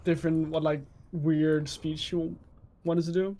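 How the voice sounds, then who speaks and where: Danish male co-caster, slightly high voice, playful teasing banter. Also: level tone, measured pace, warm tone. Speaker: esports co-caster. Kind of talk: casual stream commentary.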